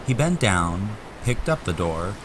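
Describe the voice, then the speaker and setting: A man's voice: deep voice, very animated, measured pace, background noise. A man reading scripted narration for an audio drama, as the storyteller.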